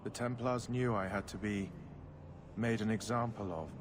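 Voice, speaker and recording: A man playing a subdued, obedient captive mage in a video game, speaking in a flat, controlled, solemn way. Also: on the low side, moderate pace, close to an everyday voice. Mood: emotionless, resigned. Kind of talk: video game cutscene acting. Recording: clearly noisy ambience behind voice.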